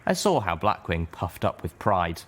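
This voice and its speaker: Low-voiced man narrating a story. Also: sing-song, normal speed. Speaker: reader of fan fiction. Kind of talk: dramatic first-person story narration.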